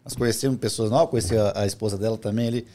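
Male co-host, rapid-fire talker, eager and interrupting, slang-heavy banter. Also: fairly low pitch, fairly even intonation, mellow. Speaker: podcast co-host. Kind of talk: casual conversation.

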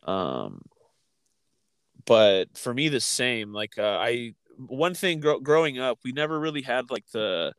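A man with a smooth, resonant voice talks casually, recorded very dry. He co-hosts a chat and often halts and restarts as he thinks aloud. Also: mid-range voice, expressive, slow pace, fairly bright tone, dry recording. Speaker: podcast co-host.

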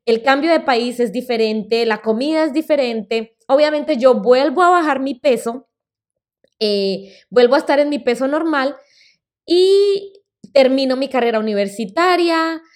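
A woman's voice: sits high, animated, a little slow. Chatty Colombian woman hosting a podcast. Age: 30s. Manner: chatty and personal, uses fillers like "eh" and "pues". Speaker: podcast host. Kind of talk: casual solo podcast storytelling.

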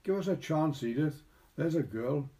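A man's voice: fairly high pitch, animated, moderate pace, mellow, natural voice. A man voicing a defensive, pleading young man caught two-timing in a storybook reading.

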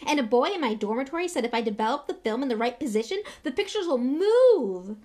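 Woman voicing a breathless, high-pitched starstruck young schoolboy. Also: brisk. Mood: excited, eager. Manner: breathless, gushing, excitable. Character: small, eager, starstruck young schoolboy fan.

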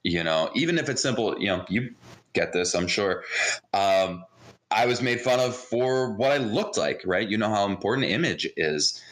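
Low-pitched American man, casual and candid. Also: animated, moderate pace, fairly bright tone. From born in Israel, raised in New Jersey. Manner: informal, candid. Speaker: podcast guest, mindset coach. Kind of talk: casual conversational personal storytelling.

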